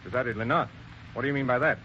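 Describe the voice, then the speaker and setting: An actor playing a brisk, businesslike police sergeant in a 1940s radio mystery, questioning in a commanding way. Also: male voice, mid-range voice, close to an everyday voice. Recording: dull old radio recording with faint hiss.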